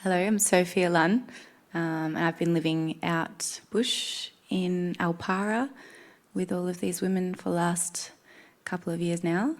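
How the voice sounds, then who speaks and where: An Australian woman from Perth introducing herself on a panel in a soft, breathy, half-whispered way. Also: lowish voice, fairly even intonation, a little slow.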